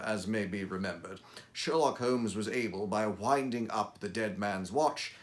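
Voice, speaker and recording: A man reading aloud as Dr. Watson, a Victorian gentleman recounting old cases, in a measured, formal storytelling delivery. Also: lowish voice. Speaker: audiobook reader. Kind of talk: dramatic reading of a classic story.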